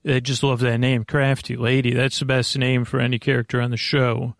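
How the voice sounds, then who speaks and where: An American man hosting a sleep podcast, talking in a meandering, hesitant, reassuring monologue with a gravelly voice. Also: mid-range voice, flat delivery, a little fast, dry recording, clean studio sound. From United States. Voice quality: creaky, gravelly. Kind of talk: rambling casual monologue.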